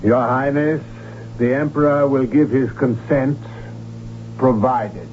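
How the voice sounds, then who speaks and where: An actor playing a stiff, pedantic imperial chamberlain in a radio drama, formal, precise and officious. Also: male voice, mid-range voice, slow, close to an everyday voice. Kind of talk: 1970s radio drama acting. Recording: tinny, noisy old radio recording.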